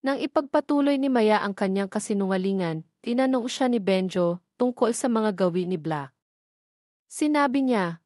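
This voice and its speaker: A woman narrates a scripted audio story. Her voice sits at an average pitch and her delivery is measured and clearly read out. The recording is dry, with little room sound. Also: darkish tone, dry recording.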